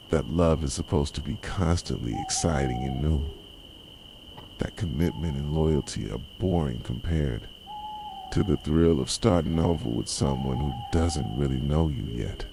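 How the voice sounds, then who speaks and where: A man playing a possessive, jilted boyfriend in an ASMR roleplay, speaking softly with bitter, deliberate intensity. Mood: cold, resentful. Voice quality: soft, low voice. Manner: intense, deliberate, reproachful dramatic delivery. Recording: clearly noisy, night insects and owl hoots.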